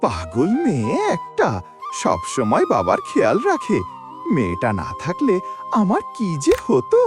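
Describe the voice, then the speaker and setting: A man's voice: fairly high pitch, wildly swooping pitch, unhurried, over music. A man playing a devoted, weary middle-aged woodcutter father in a cartoon, fussing and muttering to himself expressively. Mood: fond, hurried. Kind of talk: cartoon voice acting.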